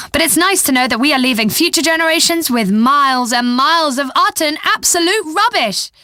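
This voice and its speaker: A young British female pop DJ on game radio, bubbly and flippant. Her high voice swoops playfully through sardonic patter, recorded close and dry. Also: sharp tone, no echo. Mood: breezy, wry.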